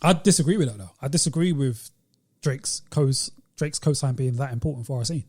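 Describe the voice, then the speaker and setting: A man's voice: mid-range voice, brisk, darkish tone, husky. A British man co-hosting a podcast, chatting casually and persistently pushing back on the others' points. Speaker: podcast co-host. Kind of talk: casual chat and debate.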